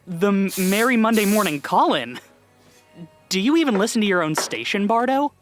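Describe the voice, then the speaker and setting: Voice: medium pitch, big pitch swings, moderate pace, soft background music, lightly acted. An actor playing a friendly, teasing coffee shop barista in a comedy audio drama, talking in a casual, folksy way. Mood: friendly, ribbing.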